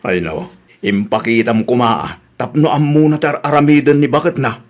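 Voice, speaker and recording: An easygoing radio-comedy husband played by a man, with a medium-pitched voice. He talks conversationally, often questioning his wife. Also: fairly even intonation, normal speed, lightly acted. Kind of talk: Ilocano radio comedy drama acting.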